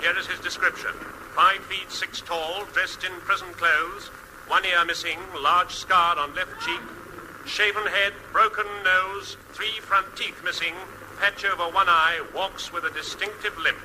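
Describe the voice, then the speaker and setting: Solemn police dispatcher, noisy radio. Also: male voice, sits high, steady tone, measured pace. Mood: solemn, urgent. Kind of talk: formal announcement in radio comedy.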